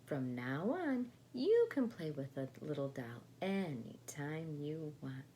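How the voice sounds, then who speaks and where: A woman's voice: deep voice, very animated, slow pace, warm tone, a little hiss or hum, heavily acted. A woman voicing a kindly old grandmother in a storybook, tender and comforting. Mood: gentle.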